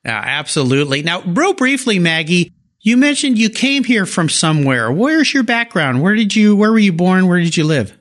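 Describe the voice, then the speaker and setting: A man hosting a car show interview with a high voice that leaps up and down, sounding revved up and eager. He is fond of playful car puns and keeps the chat moving. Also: very animated, normal speed, fairly bright tone. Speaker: podcast host.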